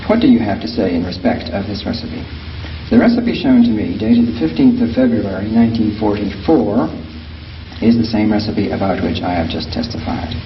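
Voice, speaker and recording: Male documentary presenter, dry and sardonic, halting delivery, muffled audio with background noise. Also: lowish voice. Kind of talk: informal commentary and reading documents aloud. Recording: echoey, noisy, distant microphone, muffled.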